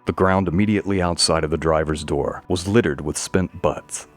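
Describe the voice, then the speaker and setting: Low-voiced male story narrator. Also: normal speed. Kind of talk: scripted narration of a mystery story. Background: faint music.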